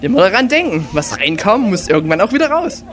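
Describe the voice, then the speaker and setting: A man speaking as part of an amateur audio play cast, in a lively, casual style. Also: high voice, fast talker.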